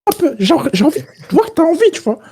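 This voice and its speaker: A woman's voice: high voice, sing-song, quick pace, dark tone. A woman improvising a bossy, teasing young recruiter in comedy role-play, goading in casual slang. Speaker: amateur role-player on a stream.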